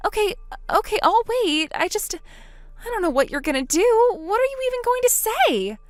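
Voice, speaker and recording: A woman's voice: very high-pitched, sing-song, moderate pace, no echo, soft background music, pitched above the performer's own voice. A woman voicing a frightened young woman trapped before a forced wedding, pleading and panicky. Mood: scared.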